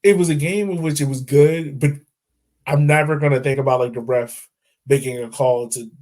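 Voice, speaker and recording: A man co-hosting a football podcast at a mid-range pitch, chatting loosely. He rambles, doubles back to fix what he just said, and breaks into laughs as he goes. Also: steady tone, moderate pace, a little mellow. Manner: rambling, self-correcting, laughs easily. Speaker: podcast co-host. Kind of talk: casual sports chat.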